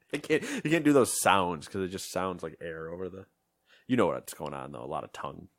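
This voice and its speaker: A man's voice: low-pitched, lively intonation, normal speed, dry recording. A man co-hosting a gaming podcast in casual chat, rambling and joking, often trailing off into mumbles. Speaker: podcast co-host.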